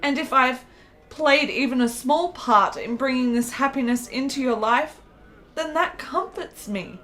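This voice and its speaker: A woman acts a lonely, ailing lady in a period radio drama. Her voice is very high. She speaks in formal, earnest phrases, with an intense and devoted feeling under the frailty. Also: a little slow, a bit bright. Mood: earnest, grateful. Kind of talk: period radio play acting.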